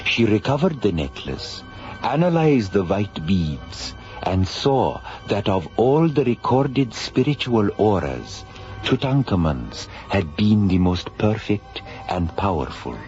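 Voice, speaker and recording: A solemn, ancient alien envoy recounts things with formal gravity in a radio play. The voice is fairly low and unhurried, with music behind it. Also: male voice, very animated, a little mellow. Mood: solemn, reverent. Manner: formal, grave, storytelling. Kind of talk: radio drama acting. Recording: dull radio recording with music underneath.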